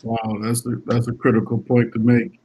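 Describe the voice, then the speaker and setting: A man's voice: mid-range voice, completely flat delivery, moderate pace, dark tone, slight background noise. A man hosting an interview, asking questions thoughtfully with many filler words. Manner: thoughtful, many ums and short affirmations. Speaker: interview host. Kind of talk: casual interview conversation.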